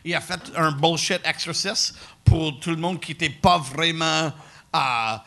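A man's voice: on the high side, measured pace, creaky, pushed and bright. A man speaking French with an English accent, a comedian guest who slips into English and swears often. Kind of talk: casual podcast banter.

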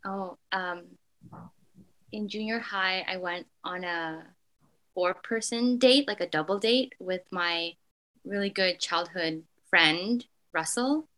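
A woman's voice: fairly low pitch, slow, poor audio. A young woman from Canada answering interview questions in a casual, reflective way, full of "like" fillers. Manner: frequent "like" fillers, soft and hesitant.

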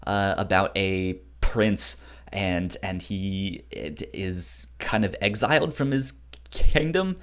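Slow-paced, lively podcast co-host. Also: deep voice. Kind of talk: casual book discussion chat.

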